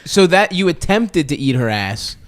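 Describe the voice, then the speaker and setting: Lively, teasing man hosting a podcast. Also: fairly high pitch, moderate pace, dry recording, studio quality. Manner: teasing, laughs often. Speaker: podcast host. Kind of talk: casual podcast banter.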